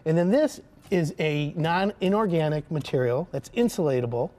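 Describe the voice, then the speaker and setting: A man's voice: animated, moderate pace, warm tone. A middle-aged man, a home improvement expert, giving an upbeat product demonstration as a guest on a TV talk show, on a studio set with a lapel mic. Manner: upbeat, pitching and pointing at samples.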